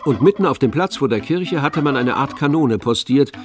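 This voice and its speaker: A man narrating a literary radio drama in a measured, precise storytelling manner. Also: medium pitch, lively intonation, natural voice. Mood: composed. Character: composed male literary narrator of a novel. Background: faint music with strings.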